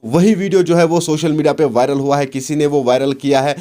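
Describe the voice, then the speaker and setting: A man's voice: a little high, fairly even intonation, a little fast, warm tone. A man presenting an online news update, addressing viewers directly.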